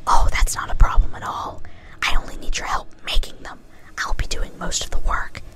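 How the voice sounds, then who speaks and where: A woman's voice: normal speed, lightly acted. Woman as gushing, whispering young pony girl. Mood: cheerful, coaxing. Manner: bouncy, gushing. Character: gleeful, overexcited young female cartoon pony. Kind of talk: whispered ASMR-style story reading.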